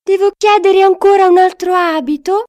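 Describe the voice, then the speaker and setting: A woman's voice: very high-pitched, fairly even intonation, normal speed, a little mellow, pitched above the performer's own voice. A woman voicing a dramatic, demanding young storybook princess, theatrical and exclaiming. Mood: worried, pleading.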